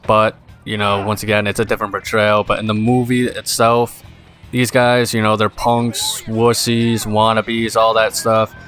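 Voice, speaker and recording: A young man giving casual gameplay commentary, tired and rambling in a monotone voice. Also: on the low side, measured pace. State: exhausted. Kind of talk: casual let's play commentary. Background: game music.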